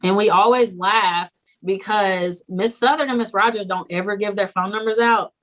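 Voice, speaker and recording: A young woman teacher chatting as a podcast guest, animated and laughing often, slipping into quoted voices as she tells stories. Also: mid-range voice, moderate pace. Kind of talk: casual podcast interview.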